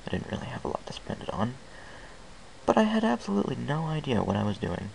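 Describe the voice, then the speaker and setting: A man's voice: mid-range voice, big pitch swings, fast, gravelly, a little mellow, a little hiss or hum, low-quality recording. A man reading a fan fiction story aloud in the first person, in an earnest, plain way with small restarts.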